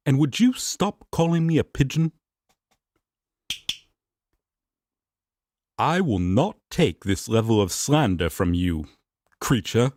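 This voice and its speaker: A man's voice: mid-range voice, darkish tone, no room sound, clean studio sound. A man playing a formal, tender but easily affronted angel roommate in a romantic audio roleplay, speaking in earnest, old-fashioned phrases. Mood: indignant.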